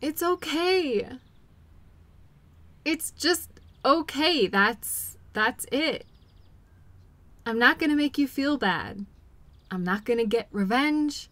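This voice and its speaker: A woman playing a caring, patient girlfriend in an intimate role-play audio, speaking gently and reassuringly to comfort her partner. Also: high-pitched, lively intonation, slow, pauses often, dry recording, heavily acted. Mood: soothing.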